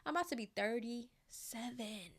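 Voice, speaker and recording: A woman's voice: on the high side, normal speed, husky. A woman in her thirties hosting casual gossip commentary, chatty and slangy, often checking in with her viewers. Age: 30s.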